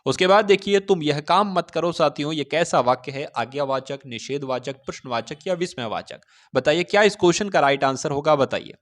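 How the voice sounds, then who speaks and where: A man's voice: fairly high pitch, animated, fairly quick. A man teaching an online exam-prep class, explaining step by step, putting questions to listeners and checking in with them. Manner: instructive, repeatedly asks questions and answers them himself; addresses listeners directly with repeated check-in phrases. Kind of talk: Hindi grammar lesson for exam aspirants.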